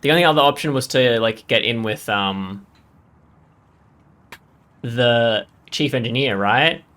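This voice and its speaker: A man's voice: medium pitch, measured pace, a bit bright. A man giving casual, off-the-cuff game commentary as a channel host, rambling as he thinks aloud and often checking in for agreement.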